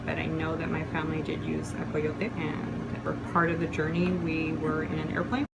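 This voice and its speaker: A middle-aged woman from El Salvador, a teacher, speaking in a measured, deliberate way in an interview. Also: low-pitched, monotone, gravelly. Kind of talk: interview testimony. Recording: loud background noise, air conditioning.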